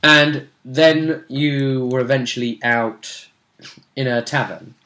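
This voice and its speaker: A man's voice: mid-range voice, steady tone, takes it slowly, bright, forceful tone, low-quality recording. A man speaking as game master, casually recapping a tabletop role-playing session in a jokey, conversational way.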